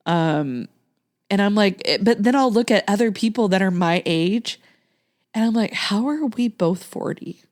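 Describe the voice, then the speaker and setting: A woman's voice: mid-range voice, a little mellow. A woman in her late thirties from Texas, a podcast host chatting casually with a guest, with frequent laughs and ums. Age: late 30s. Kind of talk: casual chat.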